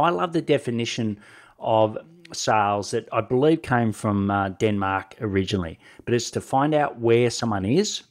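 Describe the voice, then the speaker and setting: A middle-aged man with a head cold and a nasal voice, giving conversational advice as a solo podcast host, talking directly to the listener and often checking in with short tag questions. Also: medium pitch. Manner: direct address with frequent tag questions like "right?". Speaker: podcast host and sales coach.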